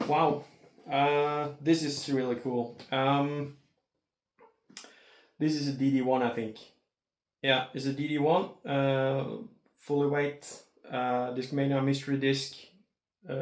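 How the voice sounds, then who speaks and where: A Norwegian-accented man speaks at a slow, halting pace in a medium-pitched voice. He gives casual unboxing commentary, and the room adds noticeable echo. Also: slight background noise. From Norway. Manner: hesitant, halting. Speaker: amateur video creator.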